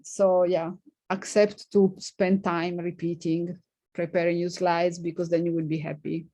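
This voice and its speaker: A middle-aged woman physicist with a non-native English accent, answering questions in a halting way full of fillers and light laughs. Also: fairly low pitch, little pitch movement, dark tone. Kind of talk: informal seminar Q&A.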